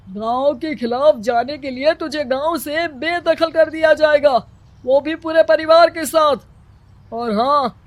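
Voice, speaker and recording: A bossy cartoon village headman who barks out orders in a very high, slow, scornful voice, performed by a voice actor. He sounds domineering and stern. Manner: commanding and scornful. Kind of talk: cartoon story voice acting.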